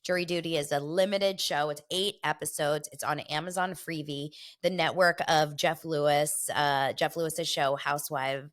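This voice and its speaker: Middle-aged woman host, gossipy chat, slightly low pitch, stuffy from a cold. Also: steady tone. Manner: chatty and gossipy, quick asides. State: congested from a head cold. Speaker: podcast host. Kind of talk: casual chat.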